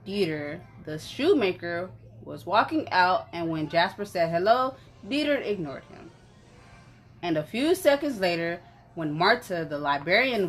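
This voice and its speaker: A woman reading a story aloud in an animated, conversational way, as if for children. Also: on the low side. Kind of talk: expressive children's story narration.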